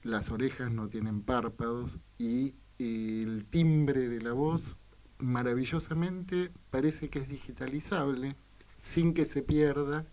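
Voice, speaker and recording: A man hosting a reflective radio conversation, with halting, thoughtful phrasing. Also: mid-range voice, steady tone, a little mellow, rough recording. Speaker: radio host.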